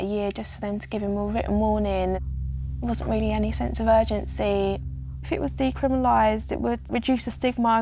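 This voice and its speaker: A woman's voice: fairly high pitch, gravelly, darkish tone, slight background noise. A young woman speaking casually in a documentary interview, with many "like" fillers.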